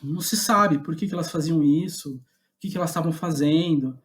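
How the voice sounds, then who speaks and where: A man's voice: fairly high pitch, a little hiss or hum. A man with a Brazilian Portuguese accent, reflecting in a podcast interview as a guest playwright, searching for his words as he goes. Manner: halting, thoughtful, searching for words.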